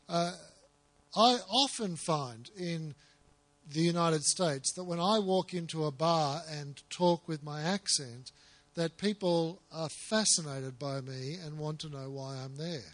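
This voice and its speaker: A man with an Australian accent telling humorous travel anecdotes to an audience, pausing for an interpreter. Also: fairly high pitch. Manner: relaxed, humorous storytelling with chuckles. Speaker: speaker telling stories to an audience. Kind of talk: anecdotal storytelling talk, paused for interpretation.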